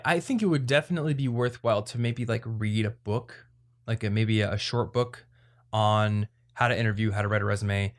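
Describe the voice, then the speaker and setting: A man hosting an advice podcast, talking in a casual, conversational way as he explains things. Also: lowish voice. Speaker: podcast host.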